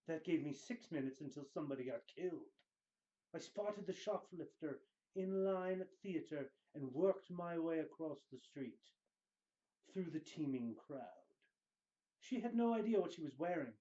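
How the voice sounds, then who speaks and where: A man giving a dramatic reading of fiction, with theatrical, deliberate and weighty phrasing. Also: a little high, warm tone, muffled recording. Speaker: show guest host reading book excerpts.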